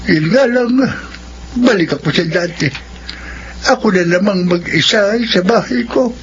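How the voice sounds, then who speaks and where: A man's voice: high voice, measured pace. A man playing a kindly, lonely old grandfather in a Filipino radio drama. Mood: grateful, wistful. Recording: dull radio audio, faint noise.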